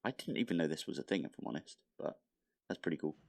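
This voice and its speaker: A man's voice: sits low, lively intonation, quick pace, vocal fry, a little mellow. A man casually commentating his modded block-building game, thinking aloud with mild exasperation, his nose slightly blocked. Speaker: modded Minecraft let's play host.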